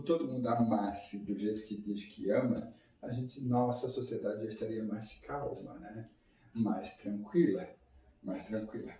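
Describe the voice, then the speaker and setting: A man's voice: mid-range voice, dark tone. A man giving an informal public lecture at a spiritist center, talking conversationally and putting rhetorical questions to the audience. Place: lecture hall. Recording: echoey hall, mic far off.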